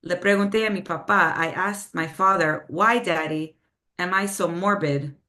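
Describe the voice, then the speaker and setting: A woman with a slightly low voice, whose first language is Spanish, reads her poems over a video call. Between poems she talks loosely and hesitantly, full of "um" and "like." In the poems she slows down and drops in Spanish words. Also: pushed and bright. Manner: slower, measured delivery with Spanish phrases when reading poems. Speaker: poet reading her own work. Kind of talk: poetry reading with casual spoken introductions.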